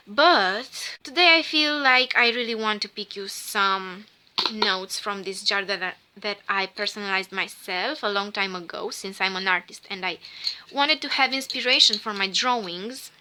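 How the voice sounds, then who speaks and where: A Romanian woman whose first language is Romanian, giving a slow, musing rune reading in English with a Romanian accent. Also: mid-range voice, pushed and bright, clear, resonant tone. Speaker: tarot reader.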